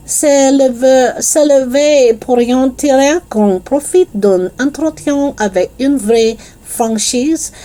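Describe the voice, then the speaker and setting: A theatrical female schoolteacher from a children's storybook, played by a woman narrator. Her voice sits high and swings widely as she speaks. She is excitable and easily wounded. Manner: declaiming, gushing.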